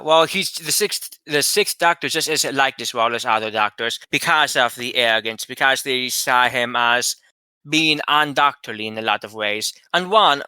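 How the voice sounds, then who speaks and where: A man co-hosting a casual chat, lively and animated, often restarting while he searches for words. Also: medium pitch, clean tone, no room sound. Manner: animated, rising into excited retelling. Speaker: podcast co-host.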